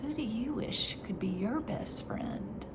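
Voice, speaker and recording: A woman talking in a reflective faith vlog, soft and halting, her voice nasal after crying. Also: a little high. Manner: soft and halting, slipping into whispers. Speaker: vlogger. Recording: steady background noise.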